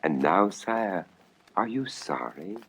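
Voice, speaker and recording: A man's voice: low-pitched, expressive, takes it slowly, a bit bright, a little hiss or hum, heavily acted, low-quality recording. A man voicing a loyal, cunning old court wizard in a storybook tale, with a solicitous, probing and reassuring manner. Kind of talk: storybook audio dramatization.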